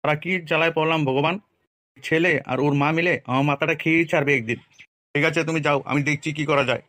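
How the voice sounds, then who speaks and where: Man acting a high, rapid-fire grumpy cartoon father. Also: steady tone, a bit bright, dry recording, close to an everyday voice. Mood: exasperated. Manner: coarse, exasperated, swearing. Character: grumpy, foul-mouthed village father and shopkeeper men. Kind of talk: comic cartoon dialogue.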